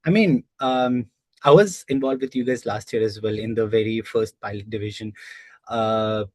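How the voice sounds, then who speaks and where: A young adult man from Nepal, a guest explaining his work in a casual, measured way with frequent "like" fillers over a video call. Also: lowish voice, no room sound. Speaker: guest, software engineer. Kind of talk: casual interview answers.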